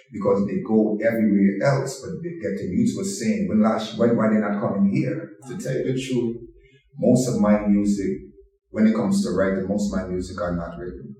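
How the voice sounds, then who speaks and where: A middle-aged man, a dancehall artist, telling his story in a calm, measured way and often adding "you know". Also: fairly low pitch. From Guyana. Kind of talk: reflective interview storytelling. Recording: echoey room, mic far off.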